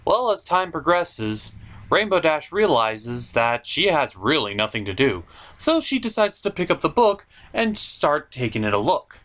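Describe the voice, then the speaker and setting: A man narrates a scripted comedy review as the show's host. His pitch swings widely from phrase to phrase, and his delivery is theatrical, with a put-upon, exasperated air. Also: very animated, a little slow, a bit bright. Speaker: host of a puppet cartoon review show.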